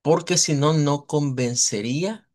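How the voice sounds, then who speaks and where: A man teaching a live online language class. He explains at length, models sounds slowly and often checks in with his students. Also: a little high, darkish tone. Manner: checks in with students, asks "verdad".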